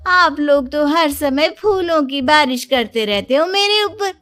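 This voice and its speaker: A woman acts out a young Indian daughter-in-law from a cartoon family drama. The voice is high and slow, whining theatrically, full of self-pity and self-praise. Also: expressive, a bit bright. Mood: sarcastic, aggrieved.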